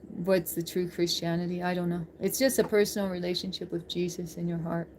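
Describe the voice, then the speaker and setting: A woman's voice: lowish voice, a little mellow, slight background noise. A woman hosting a livestream, chatting casually with viewers in an informal, rambling, giggly way.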